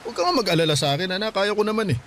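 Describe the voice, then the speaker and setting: A man's voice: high voice, expressive, very fast, a bit bright, a little hiss or hum. An actor playing a hardworking father in his forties, speaking tenderly and reassuringly in a radio drama. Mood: gentle. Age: 40s. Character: hardworking widowed father, mid-forties, gentle.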